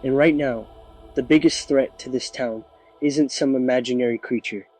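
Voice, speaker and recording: A man's voice: medium pitch, moderate pace, a little mellow, lightly acted, rough recording. A man playing a weary small-town sheriff in an audio drama news interview, firm and emphatic. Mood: stern. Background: music.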